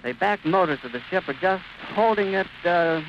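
A man's voice: high voice, animated, normal speed, dull audio, background noise. An American man giving florid, descriptive live commentary as a 1930s radio reporter, on an old recording.

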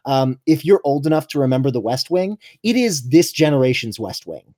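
A man's voice: mid-range voice, animated, a little fast, warm tone. An American man co-hosting a podcast in casual conversation, explaining things in a discursive, wry way. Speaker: podcast co-host.

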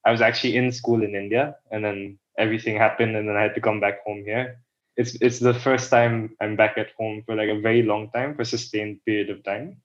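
A man's voice: lowish voice, steady tone, brisk. Young man rambling, dull lo-fi audio. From Philippines. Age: young adult. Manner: rambling, many restarts and "like" fillers. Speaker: guest. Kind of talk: casual interview talk.

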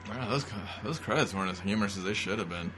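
A man's voice: lowish voice, quick pace, sharp tone, a little hiss or hum. A man co-hosting a casual comic riffing commentary, talking in a crude, slangy, mocking way.

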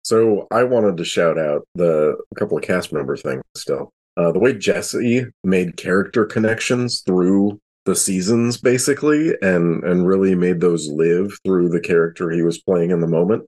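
A man with a very low, raspy voice chats on a round-table podcast. His manner is conversational and appreciative. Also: normal speed, few pauses, a little mellow. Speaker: podcast cast member. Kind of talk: casual round-table chat.

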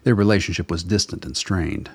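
A man with a very deep, husky voice reading scripted narration for a radio drama. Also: a little fast, dry recording.

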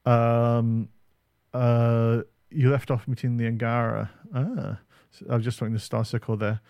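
A man's voice: medium pitch, flat delivery, unhurried, darkish tone, smooth, dry recording, clean studio sound. A man co-hosting a podcast, chatting casually about games, with many ums and uhs. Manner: rambling, lots of ums and uhs. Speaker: podcast co-host. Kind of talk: casual gaming chat. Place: home setup on camera.

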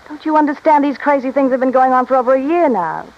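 A woman's voice: high-pitched, fast. A woman acting a discontented, self-pitying wife in an old radio drama, speaking in a hesitant, confessional manner. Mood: defensive. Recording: dull, faintly noisy old radio recording.

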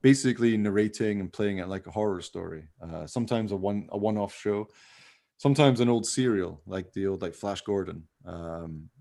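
A man's voice: fairly low pitch, moderate pace, vocal fry, mellow. A man hosting a podcast in a casual, rambling chat, with an informal, jokey manner. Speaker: podcast host.

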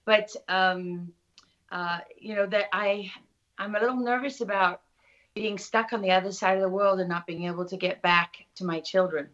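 A woman's voice: mid-range voice, steady tone, normal speed, a bit bright. A middle-aged woman with a South African accent, talking reflectively and anecdotally as an interview guest. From Durban, South Africa. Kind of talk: conversational interview. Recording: slightly dull, lo-fi audio.

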